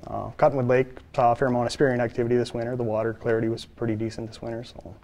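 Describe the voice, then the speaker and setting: Matter-of-fact male biologist, mid pitch. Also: little pitch movement, rapid-fire, darkish tone. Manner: plain, matter-of-fact, with many filler uhs. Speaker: fisheries biologist. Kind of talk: interview answers. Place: studio, seated.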